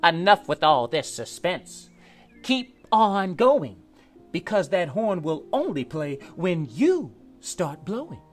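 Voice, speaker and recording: A man voicing an emphatic, encouraging grandmother in a children's storybook, loud and drawing out the words. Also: high-pitched, very animated, takes it slowly, soft background music, pitched above the performer's own voice, heavily acted. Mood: bossy encouragement.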